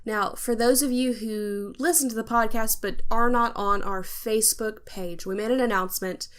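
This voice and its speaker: A woman's voice: a little high, steady tone, moderate pace. A woman co-hosting a podcast in casual, conversational talk. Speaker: podcast co-host.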